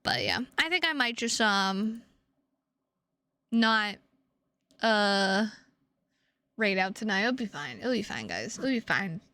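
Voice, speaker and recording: A tired woman chatting casually to her livestream viewers in a halting, rambling way with drawn-out words. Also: mid-range voice, expressive, bright, forceful tone, dry recording. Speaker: streamer.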